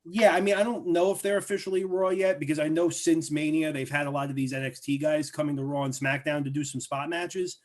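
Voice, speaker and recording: A man co-hosting a wrestling podcast, speaking in a measured way and hedging his points with fillers. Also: a little high, little pitch movement. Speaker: podcast co-host. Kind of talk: casual wrestling chat.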